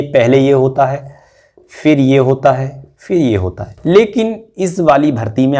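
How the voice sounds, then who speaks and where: Man, mid-pitched voice, informal teacher, step-by-step explainer, repeats points. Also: dark tone. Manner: repetitive step-by-step explaining, frequently checking with "okay". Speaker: exam-prep teacher and channel host. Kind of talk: informal explainer addressed to exam candidates.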